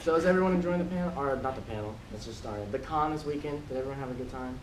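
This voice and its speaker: A man's voice: medium pitch, very fast. A man in his twenties hosting a casual, informal, jokey panel chat at a convention. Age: 20s. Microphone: distant from mouth. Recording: echoey, distant mic, room noise.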